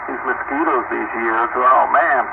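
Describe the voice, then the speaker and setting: A man's voice: mid-range voice, unhurried, gravelly. A man making casual small talk as an operator on a two-way radio. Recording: narrow tinny radio transmission, loud static.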